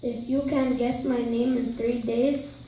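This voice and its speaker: Woman reading a slow, echoey little magic man. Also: fairly high pitch, little pitch movement, mellow, lightly acted. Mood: demanding. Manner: halting, stumbling line reading. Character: little magic man bargaining shrewdly for treasure and child. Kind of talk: readers theater storytelling. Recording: very echoey, distant, some hiss.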